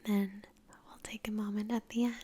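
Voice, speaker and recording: A woman whispering gently and unhurriedly in a breathy voice, close to the microphone, guiding an ASMR meditation with long pauses. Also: medium pitch, flat delivery. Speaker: ASMR creator and meditation guide. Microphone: close microphone in front of the face.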